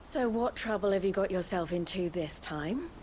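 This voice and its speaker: An actress playing a shrewd, haughty merchant in a video game, with a wry, businesslike manner. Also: female voice, mid-range voice, animated, moderate pace, darkish tone, a little hiss or hum, close to an everyday voice. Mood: exasperated. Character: brisk, shrewd, haughty female caravan owner.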